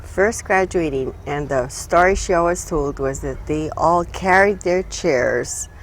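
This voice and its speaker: An older woman from Hawaii, a retired social worker recalling her life in a relaxed interview, speaking haltingly with many pauses and fillers. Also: sits low, slight background noise, low-quality recording. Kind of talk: casual reminiscing conversation.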